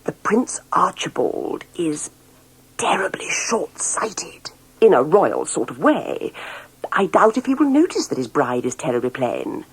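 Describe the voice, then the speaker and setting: A woman's voice: deep voice, very animated, husky, heavily acted, poor audio. Storybook Lord Chamberlain female reader, English RP, obsequious and self-important, wordy, with sweeping intonation. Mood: hushed, confiding. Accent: English Received Pronunciation. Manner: pompous, ornate, deliberate. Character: pompous, long-winded male royal chamberlain, obsequiously proud.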